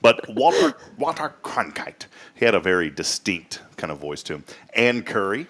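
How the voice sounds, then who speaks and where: Casting: American male talk host, late thirties, lowish voice, folksy and rambling. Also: sing-song, moderate pace, a bit bright. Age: late 30s. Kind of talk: casual chatty monologue.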